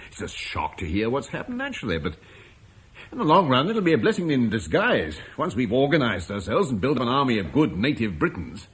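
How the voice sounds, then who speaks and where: A genteel, stubborn British landowner. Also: male voice, mid-range voice, very animated, fairly quick, slightly rough. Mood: uneasy. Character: proud Romano-British landowner Julian, stubborn. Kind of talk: 1950s BBC radio drama acting.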